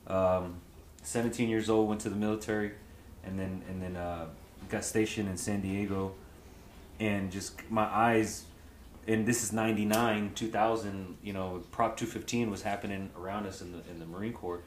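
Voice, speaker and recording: A man's voice: fairly low pitch, steady tone, normal speed, roomy sound, slight background noise. Laid-back Texas man, swearing and saying bro. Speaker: cannabis brand founder. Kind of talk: casual podcast chat.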